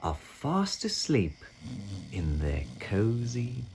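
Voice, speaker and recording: A man narrates a picture book for young children at an extremely unhurried pace. His delivery is expressive and sing-song, swooping widely between low and high notes with deliberate phrasing. Faint ambient noise and effects sit behind his medium-pitched voice.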